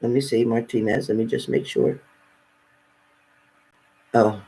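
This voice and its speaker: A man's voice: medium pitch, fairly even intonation, measured pace, gravelly, dark tone, slightly rough, low-quality recording. A man hosting a casual live question-and-answer stream, giving spirit readings and chatting with viewers.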